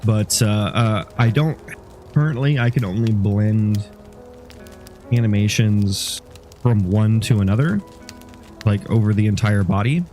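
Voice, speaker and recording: A man's voice: on the low side, a little slow, long pauses, dark tone, faint music behind. A sniffly man, a game developer, talking casually through his code and rambling as he thinks aloud. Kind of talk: casual dev log.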